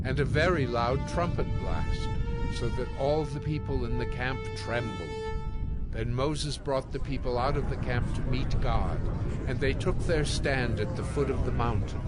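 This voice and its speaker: A man narrating scripted scripture as a solemn storyteller in a dramatized audio production. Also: mid-range voice. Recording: music under the voice.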